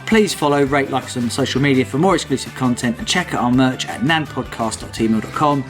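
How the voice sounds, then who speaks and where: A man's voice: medium pitch, a little fast. A male podcast host with a mid-range voice, sharing trivia in a teasing, jokey way. Faint music sits under his talk. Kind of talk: casual chat, reading trivia.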